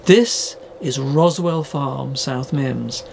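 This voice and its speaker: A man's voice: medium pitch, very slow, vocal fry, a little hiss or hum. A man playing an earnest, polite university podcast host in a scripted audio drama.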